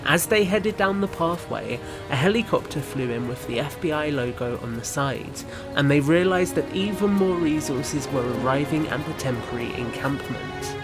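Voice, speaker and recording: A man narrating an audio drama in a scripted storytelling style. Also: a little high. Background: musical score.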